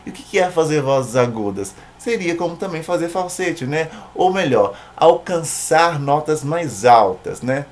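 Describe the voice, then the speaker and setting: A man's voice: on the high side, expressive, unhurried, hardly any pauses. Brazilian man giving an informal tutorial. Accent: Brazilian Portuguese. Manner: conversational, checks in with short tag questions. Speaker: singing tutor, singer.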